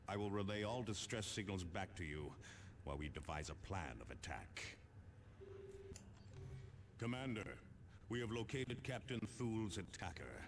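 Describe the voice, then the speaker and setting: An actor playing a grave veteran space marine captain, giving a deliberate, commanding military briefing in a video game. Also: male voice, deep voice, slight background noise. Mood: grave, urgent. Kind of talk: dramatic scripted military briefing.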